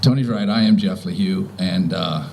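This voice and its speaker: A man in his fifties or older from Virginia giving an informal, halting welcome speech as a property owner. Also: sits low, gravelly, noisy background. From Virginia, United States. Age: 50s or older. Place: outdoors in front of a house.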